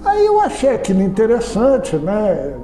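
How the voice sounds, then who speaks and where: A man's voice: high voice, huge pitch swings, unhurried, warm tone, reverberant, faint music behind. A man with a Brazilian accent, an interviewer who chats and tells stories in a conversational way. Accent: Brazilian Portuguese. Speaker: interviewer, journalist.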